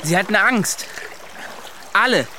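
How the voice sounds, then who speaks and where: Earnest young adventurer, high male voice. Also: lively intonation, slow pace, bright, forceful tone, a little hiss or hum, exaggerated voice. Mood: fervent, earnest. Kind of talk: radio drama acting.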